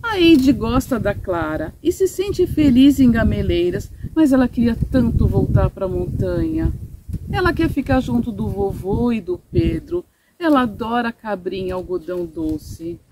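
A woman's voice: a little high, sing-song, a little slow, dark tone, slight background noise, poor audio. A middle-aged woman with a Brazilian Portuguese accent reading a children's story expressively and in simple, explanatory terms. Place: outdoors in a sunny garden patio, seated.

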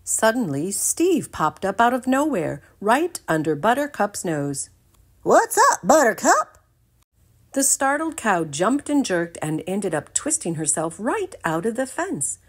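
A woman's voice: mid-range voice, big pitch swings, measured pace, few pauses, breathy edge. A woman reading a children's storybook aloud expressively.

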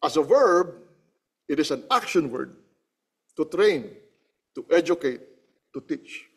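A man preaching a sermon, teaching slowly from slides, repeating phrases and often checking with "Okay?" and clearing his throat between points. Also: fairly high pitch, expressive. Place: church.

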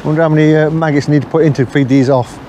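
A middle-aged man from England talking casually to the camera while fishing from a riverbank, thinking aloud in a relaxed, unhurried way. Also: a little high. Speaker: angler filming his own channel. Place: riverbank outdoors on a windy day. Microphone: camera mic placed behind him. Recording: outdoor camera audio with wind noise.

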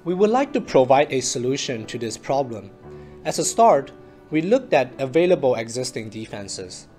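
A man with a mid-pitched voice reads a scripted explainer as a researcher. His delivery is measured and formal. Instrumental music plays behind him. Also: very animated, a little mellow. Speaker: researcher presenting a project. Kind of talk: scripted narration, explainer presentation.

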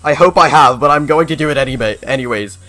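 A man acts a spiteful young cartoon contestant with a rather high male voice. He is blunt and melodramatic, stammering and repeating himself, in a homemade web animation style. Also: quick pace, sharp tone, soft background music, poor audio. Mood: hateful. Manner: stammering, repetitive, dramatic. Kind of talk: amateur animated web show voice acting.

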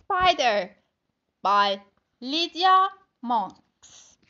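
A woman's voice: sits high, big pitch swings, drawn-out words, bright, forceful tone, dull audio. A woman in her thirties reading a picture book to young children in simple phrases, recorded on a phone in a living room. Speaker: storytime teacher reading to children. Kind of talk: expressive picture-book read-aloud.

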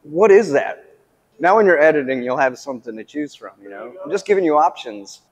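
A man's voice: fairly high pitch, expressive, fairly quick, dull audio. Chatty man tasting beer on lapel mic. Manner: chatty, playful, hedging. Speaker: coworker taste tester. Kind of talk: casual tasting comments. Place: brewery taproom.

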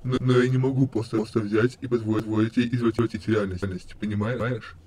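A man's voice: on the low side, fairly even intonation, fairly quick, darkish tone, close to an everyday voice. A man voicing a deranged skeleton destroyer in an amateur comic dub, cheerfully menacing, stuttering and repeating words like a glitch. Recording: clear background noise.

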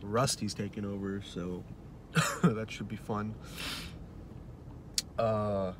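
A man's voice: fairly low pitch, slow pace, noisy background. Stuffy-nosed young man vlogging from his car. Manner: offhand, joking, trailing off. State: stuffy nose from a cold. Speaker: film director. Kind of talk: casual vlog to camera. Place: parked car by day. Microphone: phone held at arm's length.

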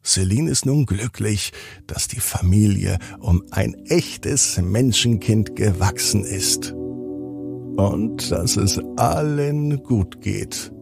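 A man's voice: on the low side, animated, slow pace, gravelly, over music. A man narrating a bedtime story for children as the host and storyteller.

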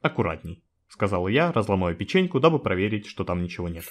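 A man's voice: low voice, sing-song, quick pace. A man giving a scripted video narration in a wry, joking, informal way, with some swearing.

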